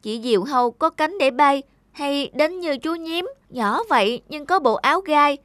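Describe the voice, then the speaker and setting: A woman's voice: high voice, expressive, unhurried, fairly bright tone, no echo. A woman voicing a small, timid and envious rabbit in a children's cartoon, whiny and full of exclamations. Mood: envious, plaintive. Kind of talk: animated children's fairy tale acting.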